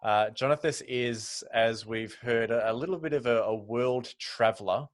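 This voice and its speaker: A man's voice: mid-range voice, normal speed. A male host interviewing a guest, leading with questions and small jokes. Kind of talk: casual interview chat.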